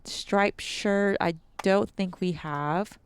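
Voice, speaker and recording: A woman's voice: medium pitch, drawn-out words, slight background noise. A young adult woman hosting a let's-play, chatting casually to viewers with ums and restarts, her nose a bit stuffy. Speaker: gaming channel host.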